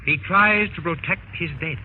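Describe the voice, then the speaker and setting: An actor playing a grave, learned investigator recounting horrors in an old-time radio drama. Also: male voice, high-pitched, moderate pace, phone-quality sound, close to an everyday voice. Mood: solemn, reflective. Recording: thin, noisy old radio recording.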